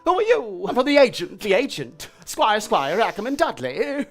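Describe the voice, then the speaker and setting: A man doing a shrill housekeeper. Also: wildly swooping pitch, normal speed, faint music behind, exaggerated voice. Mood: flustered, blunt.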